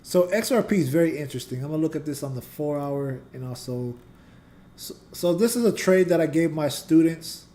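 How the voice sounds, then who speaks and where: Mellow-voiced man explaining charts casually. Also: fairly high pitch, animated, brisk. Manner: loose and conversational, talks to chat. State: voice getting scratchy. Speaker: trading channel host and teacher. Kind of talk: casual live chart analysis.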